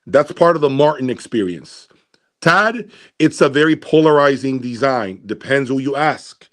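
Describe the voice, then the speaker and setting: Male gear reviewer, mid-pitched voice, casual and opinionated host delivery, deliberate, with emphatic repetition. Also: animated. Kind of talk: casual opinionated commentary to viewers.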